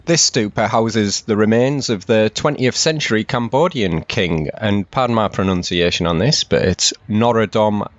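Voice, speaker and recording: A man's voice: on the low side, moderate pace, few pauses, no echo, lo-fi sound. Man narrating a travel vlog. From England. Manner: steady, informative tour-guide delivery. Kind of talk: scripted voice-over narration.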